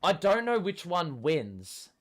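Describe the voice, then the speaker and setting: A young man hosting a live basketball watchalong, swearing often and yelling at big plays, his voice going hoarse and cracking. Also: high voice, big pitch swings, slow. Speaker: host.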